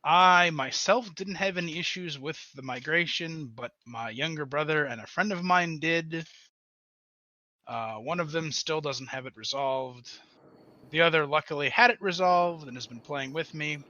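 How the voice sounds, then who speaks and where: A man's voice: on the high side, normal speed, bright, forceful tone, dry recording. A man chatting casually about gaming as a podcast guest, on a dull, dry lo-fi voice channel.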